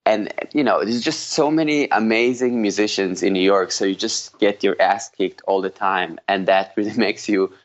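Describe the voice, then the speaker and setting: A man in his thirties with an Israeli accent, chatting as an interview guest in a loose, halting way. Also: lowish voice, lo-fi sound. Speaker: podcast guest, jazz guitarist. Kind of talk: casual conversational interview.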